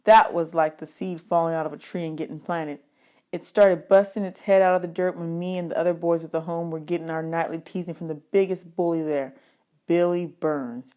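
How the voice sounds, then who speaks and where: A woman reading a children's novel aloud as an expressive audiobook narrator. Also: lowish voice, a little fast. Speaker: audiobook reader.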